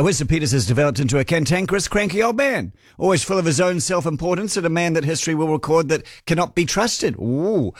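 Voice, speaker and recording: A man's voice: a little high, no room sound, studio quality. Opinionated New Zealand talkback host in his sixties. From born in the UK, raised in New Zealand. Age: 60s. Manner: chatty, reads texts aloud, opinionated asides. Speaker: radio talk host. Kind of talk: talkback radio chat.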